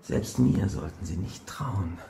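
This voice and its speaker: Brooding doctor with creaky, swooping voice. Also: male voice, sits low, lively intonation, slow pace, warm tone, full character voice. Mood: menacing. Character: brooding, protective doctor with a menacing edge. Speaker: actor in an audio drama. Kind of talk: German radio thriller acting. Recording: roomy, faint background noise.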